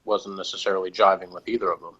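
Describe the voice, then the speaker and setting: Lowish male reviewer on dull audio. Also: steady tone, a bit bright, husky. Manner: halting, informal, noncommittal. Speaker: reviewer, discussion guest. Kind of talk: casual review chat.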